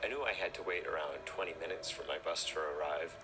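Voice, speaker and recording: A man's voice: sits low, fast talker. A man reading a scripted first-person horror story as narrator. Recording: noisy recording.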